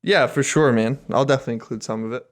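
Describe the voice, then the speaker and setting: A man's voice: mid-range voice, fast talker. A man co-hosting a podcast, telling loose anecdotes in an informal, slangy, sweary way. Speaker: podcast co-host. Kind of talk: casual chat, loose anecdotes. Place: remote podcast call.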